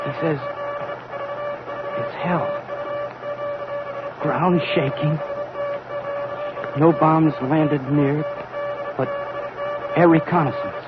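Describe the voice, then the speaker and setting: A distraught spaceship radio operator in an old radio drama. His words come slowly and brokenly, and the pitch swings wildly with fear. It is a muffled vintage recording with music behind. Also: wildly swooping pitch, exaggerated voice. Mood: anguished. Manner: halting, broken phrases, anguished. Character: distraught, fearful spaceship radio operator relaying faint messages.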